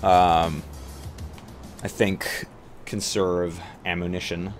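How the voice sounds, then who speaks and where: A man with a slightly low voice plays a tabletop roleplaying game. He talks casually, working out his moves out loud. Faint music runs underneath. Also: little pitch movement, extremely slow. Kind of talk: casual game chat, thinking aloud.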